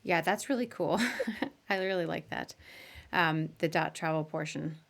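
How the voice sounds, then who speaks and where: A woman with a slightly low voice hosts a live podcast interview. Her delivery is chatty and informal, like a casual conversation with a guest. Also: measured pace, vocal fry. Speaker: podcast host.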